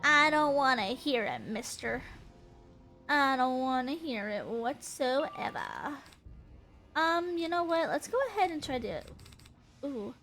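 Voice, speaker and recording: High-voiced stuffy-nosed woman streamer. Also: expressive, takes it slowly, fairly bright tone, soft background music. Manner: chatty, giggly, rambling. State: congested, stuffy nose. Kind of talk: casual gameplay chat.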